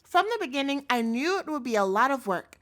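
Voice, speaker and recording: A woman's voice: sits high, very animated, fairly quick, fairly bright tone. A woman playing a doting, possessive yandere girlfriend in a scripted audio roleplay, coaxing and pleading in a cloying manner. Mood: soothing, controlling.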